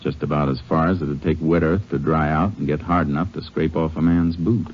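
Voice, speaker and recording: An American actor playing a steady, methodical Texas Ranger in a 1950s western radio drama, speaking in a laconic, plainspoken way. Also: male voice, extremely low pitch, a little fast, husky. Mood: calm, matter-of-fact. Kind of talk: 1950s radio drama acting with first-person narration. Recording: muffled vintage radio recording with music.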